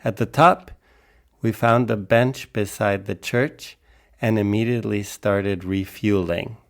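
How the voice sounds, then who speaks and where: A man's voice: fairly low pitch, a little slow, vocal fry. A man from Spain hosting a travel podcast, reading scripted narration about his journey.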